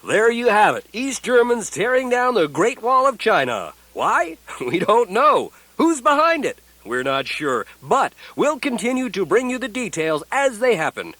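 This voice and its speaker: A man's voice: sits high, very animated, a little slow, hardly any pauses, bright, forceful tone, no echo, exaggerated voice. A man playing a dramatic, self-important news anchor in a satirical radio play. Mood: dramatic, mock-serious. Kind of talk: satirical radio newscast acting.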